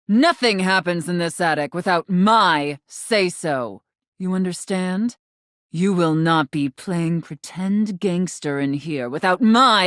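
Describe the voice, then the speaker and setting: A low-voiced, imperious game villainess, sadistic and haughty. She takes her time and swoops from purr to bite, very close and dry. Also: dry recording. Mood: menacing scorn. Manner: haughty, slow, menacing, scornful. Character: domineering, sadistic attic mistress, haughty woman. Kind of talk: theatrical video game character acting.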